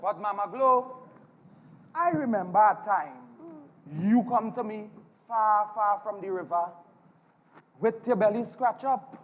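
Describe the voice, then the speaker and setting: Guy acting excitable trini fella in a stage comedy, husky swoopy voice, big echoey hall. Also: sits high, unhurried, pauses often, highs cut off, exaggerated voice. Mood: knowing. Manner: animated, exclamatory. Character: excitable man at a folklore retirement home. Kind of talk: theatrical stage dialogue.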